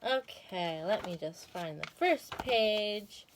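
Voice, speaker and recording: A woman's voice: medium pitch, animated, slow, noisy background. A woman hosting and reading a children's story expressively, with casual asides to viewers. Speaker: storyteller and video host.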